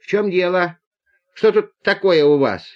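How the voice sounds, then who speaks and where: A young woman train conductor in an audiobook story , acted by a man, high and strained. She is bossy and flustered, scolding in loud exclamations that swoop about. The recording is a bit dull. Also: animated, measured pace. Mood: demanding. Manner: scolding, shouting, tearful exclamations.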